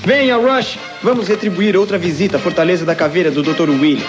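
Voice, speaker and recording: Guy voicing a young robot hero, brazilian cartoon dub, high eager voice, brave, music behind. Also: quick pace, lightly acted. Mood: resolute. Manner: eager, heroic. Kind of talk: dubbed cartoon acting.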